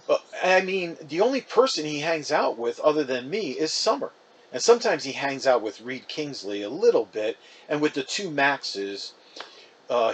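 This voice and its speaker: A man's voice: mid-range voice, slight background noise. A man reading a storybook as a chatty, wounded, defensive fifth-grade boy, casual and emphatic.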